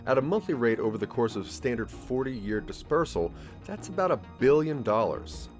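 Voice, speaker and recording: A man's voice: medium pitch, very animated, moderate pace. A man narrating a scripted audio drama story, addressing the listener as its main character. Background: music.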